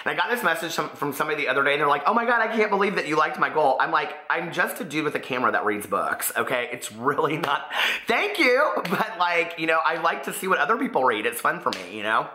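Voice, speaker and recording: A man's voice: on the high side, quick pace. A man chatting casually to camera about his reading, his voice still partly gone and coming back. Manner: chatty, rambling, expressive. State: voice partly lost, recovering. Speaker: book vlogger. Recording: echoey room.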